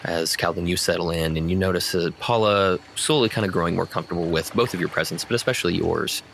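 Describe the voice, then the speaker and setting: A man's voice: sits low, fast talker, creaky, a bit bright, slight background noise. A man acting as game master, narrating a tabletop roleplaying game in descriptive, conversational prose.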